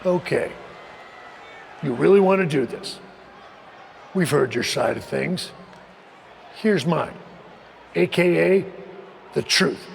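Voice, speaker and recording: A stern American wrestling veteran in a video game, heavily gravelly and scolding. His delivery is slow and swings widely in pitch. The words boom through long arena reverb. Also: animated, heavily acted. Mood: stern, exasperated. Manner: emphatic, scolding. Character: exasperated, stern veteran wrestler turned boss. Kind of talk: wrestling promo acting. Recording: booming arena reverb, faint noise.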